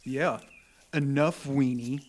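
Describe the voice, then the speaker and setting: A man's voice: medium pitch, fairly even intonation, slow. An actor playing a crude, mouthy teenage boy who is vulgar and sarcastic. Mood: mocking. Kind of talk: audio drama acting.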